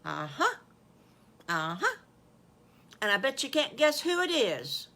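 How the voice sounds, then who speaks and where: Young storybook wolf, male, played by an older woman: bright, lifted pitch, sweet, eager, childlike, prone to repeating himself. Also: very animated, slow pace, heavily acted. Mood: proud, thrilled.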